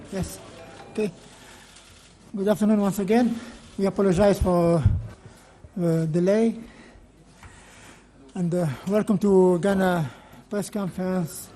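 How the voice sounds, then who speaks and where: Male moderator, soft dark tone, polite halting announcements, faint room noise. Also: high voice. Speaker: press conference moderator. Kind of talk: formal announcements and instructions. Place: press conference room.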